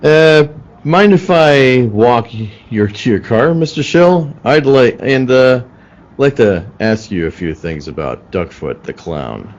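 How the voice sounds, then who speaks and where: A man's voice: medium pitch, expressive, slow pace. A man playing a firm, probing police detective in an old-time radio play, clipped and businesslike. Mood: casual. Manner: clipped, questioning. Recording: muffled video-call audio.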